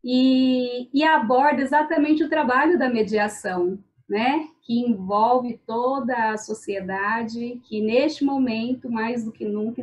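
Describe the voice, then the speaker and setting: A woman mediator speaks slowly and deliberately, often adding "né" as she lays out her points. Her voice is a little high. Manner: slow, deliberate, with frequent "né". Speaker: mediator and guest. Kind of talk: interview answers.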